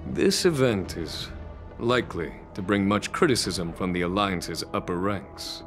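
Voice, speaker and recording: A man's voice: low-pitched, expressive, measured pace, faint music behind. A man voicing a grave, dignified military leader in game dialogue, speaking formally.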